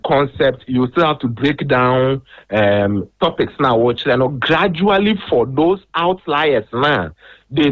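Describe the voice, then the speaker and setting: A man speaks as a guest on a radio discussion about education. He explains his points in a measured way, his pitch rising and falling a great deal, and he moves between languages as he talks. His voice comes through a dull, lo-fi line. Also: a bit bright.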